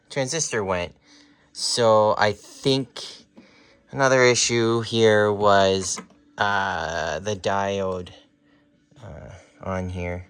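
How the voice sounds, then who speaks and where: A man in his thirties talking casually and haltingly, thinking aloud as he presents a home repair vlog on a handheld phone. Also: fairly low pitch, fairly bright tone, clean tone. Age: 30s. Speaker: hobbyist repairer showing his work. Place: carpeted room at home. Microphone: handheld phone, at arm's length.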